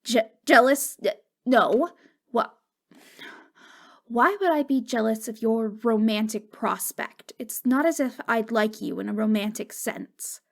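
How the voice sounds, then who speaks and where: A woman playing a wistful, wounded ghost girl in an intimate ASMR roleplay, speaking in a halting, fragile, stammering way. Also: fairly high pitch, creaky. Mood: jealous, defensive. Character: wistful, wounded ghost girl, long dead since wartime.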